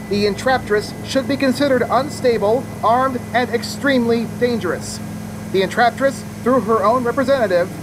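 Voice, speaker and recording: A woman's voice: on the high side, close to an everyday voice. Woman playing a male helicopter news reporter. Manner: brisk broadcast reporting, rising in panic. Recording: noisy helicopter ambience. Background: helicopter and engine noise.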